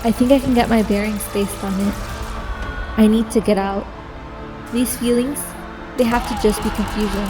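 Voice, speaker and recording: Woman acting a young female soldier over loud music. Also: mid-range voice, steady tone, moderate pace, long pauses, distant mic, close to an everyday voice, low-quality recording. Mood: shocked, anguished. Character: disillusioned young woman soldier on covert mission. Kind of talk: first-person audio drama inner narration.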